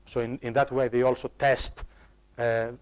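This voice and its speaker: A man of ordinary pitch giving a workshop talk on designing online courses. He speaks in a halting way, restarting phrases and doubling words, and he turns to his listeners with quick questions. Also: flat delivery, clear, resonant tone. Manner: halting, restarts and repeats words while explaining; addresses the audience directly with questions. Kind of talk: live workshop talk to staff.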